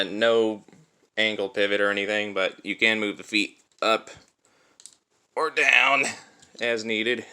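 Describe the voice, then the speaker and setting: A man's voice: lowish voice, bright, forceful tone, clear, resonant tone. A man giving a casual hands-on product review in an informal, conversational way, thinking aloud as he demonstrates. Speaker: toy reviewer and host.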